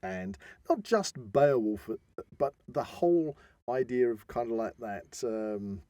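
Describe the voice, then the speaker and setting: A man's voice: medium pitch, slow, gravelly, warm tone, dry recording, clean studio sound. A man explaining things enthusiastically in a casual podcast chat, often wandering into asides as he tells the story. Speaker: podcast host.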